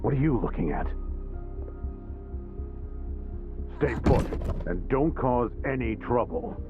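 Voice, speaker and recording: An actor playing a surly, weary and menacing villain's underling in a video game. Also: male voice, mid-range voice, expressive, moderate pace, narrow, tinny audio, over music, natural voice.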